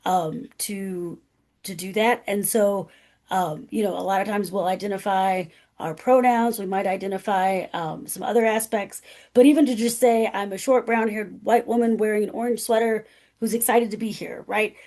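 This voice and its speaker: Woman, loud carrying voice, emphatic, professor, presenting, lots of fillers. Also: medium pitch, fairly even intonation, moderate pace. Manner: emphatic, frequent "right?" and "um". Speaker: guest speaker. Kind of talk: conversational presentation.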